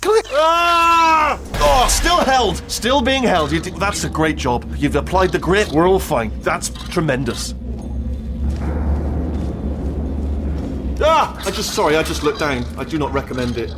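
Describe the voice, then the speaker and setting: Nervous British robot sidekick, swooping pitch. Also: male voice, expressive, moderate pace, few pauses, over music. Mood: panicking. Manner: rambling, stammering, self-correcting, anxious babble. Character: nervous, chatty, bumbling little robot sidekick. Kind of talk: comic video game voice acting.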